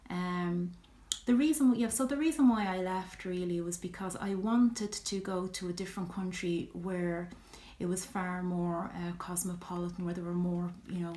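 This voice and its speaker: A middle-aged woman with an Irish accent, talking reflectively in a personal vlog, pausing often with ums. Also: fairly low pitch, steady tone, dark tone, roomy sound. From Ireland. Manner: reflective, earnest, many ums and you-knows. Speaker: vlogger.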